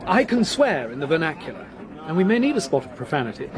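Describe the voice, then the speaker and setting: High, jaunty young Englishman. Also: very animated, fast, a crowd in the background. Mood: jaunty, helpful. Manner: jaunty, flippant. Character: breezy, joking young Englishman, irreverent traveller. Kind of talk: radio drama acting.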